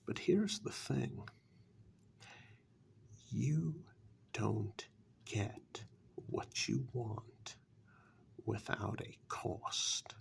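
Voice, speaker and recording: A man playing a condescending, reclusive warlock in a soft-spoken dark fairytale ASMR role-play, slow, deliberate and taunting. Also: fairly low pitch, gravelly, heavily acted.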